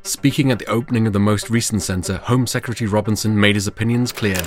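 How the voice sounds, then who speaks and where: An actor playing a measured, serious news reporter in an audio drama. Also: male voice, fairly low pitch, steady tone, soft background music, natural voice.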